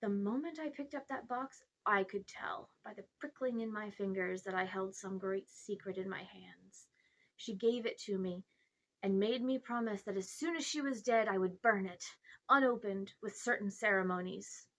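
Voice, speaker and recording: A woman's voice: medium pitch, moderate pace, a bit bright. Woman voicing a pompous, sly old uncle. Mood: secretive, confiding. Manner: grandiose, condescending, evasive. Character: pompous, self-important old uncle, sly amateur magician.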